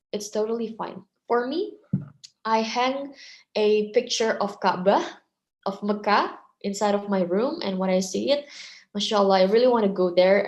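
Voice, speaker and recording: A woman's voice: medium pitch, darkish tone. Woman in her twenties, guest speaker, earnest, echoey room on a call. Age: 20s. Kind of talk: casual talk.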